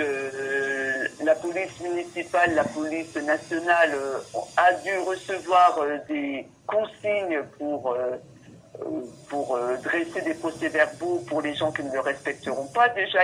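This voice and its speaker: Bright-voiced Frenchwoman advising by phone. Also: sits low, unhurried. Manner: hesitant, formal, conciliatory. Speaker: legal adviser at a medical council. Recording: telephone call with faint noise.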